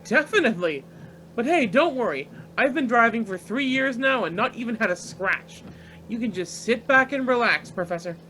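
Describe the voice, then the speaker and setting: A man's voice: extremely high pitch, lively intonation, moderate pace, bright, forceful tone, heavily acted, low-quality recording. Guy playing young driver dude, cocky and easygoing, radio drama, faint car noise in back. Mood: confident, breezy. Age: young adult. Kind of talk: radio play acting.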